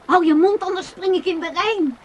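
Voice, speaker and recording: A high-pitched, lonely younger woman in a radio play. She is touchy and clinging in temper. There is faint noise behind the voice. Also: normal speed. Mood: bitter, defiant. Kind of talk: Dutch radio play acting.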